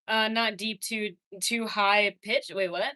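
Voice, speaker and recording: A young adult woman streaming, casually chatting with her viewers and reading their messages, laughing often and swinging between excited and quiet. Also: medium pitch, takes it slowly. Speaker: livestreamer reading chat. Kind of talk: casual livestream chat.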